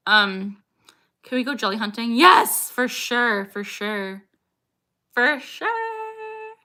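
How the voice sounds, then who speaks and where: Young woman, livestream chat, high-ish voice, upbeat, laughs a lot, sudden vocal tics. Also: big pitch swings, slow pace, pushed and bright. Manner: chatty and upbeat, laughs often, breaks off mid-sentence with sudden vocal tics. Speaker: livestreamer answering chat questions.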